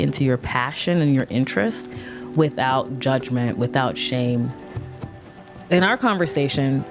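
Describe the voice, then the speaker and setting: A woman hosting a podcast, introducing her guest and asking curious questions. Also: low-pitched. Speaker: podcast host.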